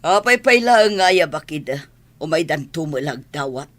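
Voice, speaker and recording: A woman's voice: sits low, lively intonation, normal speed, a bit bright. A woman playing a haughty, wealthy middle-aged mother in a radio drama, with an imperious, dramatic way of talking. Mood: haughty disdain. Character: haughty wealthy mother, disdainful of poor relatives. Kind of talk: Ilocano radio drama acting.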